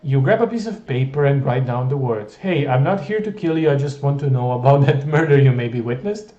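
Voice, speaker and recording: A man reads a choose-your-own-adventure game aloud and keeps breaking in with dry, playful jokes. His voice is warm and soft-edged. It sits in a roomy space with a slightly muffled, lo-fi sound. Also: mid-range voice, animated, a little fast. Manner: wry, playful, jokey. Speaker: gamer reading a text game aloud. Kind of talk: reading a text adventure aloud with comic asides.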